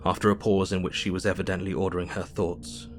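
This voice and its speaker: Grave Victorian diarist, low voice, measured narration, audio drama, music beneath. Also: male voice, level tone. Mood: compassionate. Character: sombre, observant Victorian male diarist narrating events.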